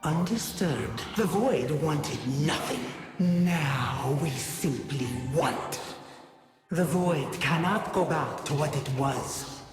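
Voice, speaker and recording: Low, cavernous alien empress. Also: female voice, animated. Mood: solemn. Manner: slow, solemn, commanding. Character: alien void empress, coldly regal, menacing and seductive. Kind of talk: video game character voice lines. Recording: game audio, cavernous reverb, music behind.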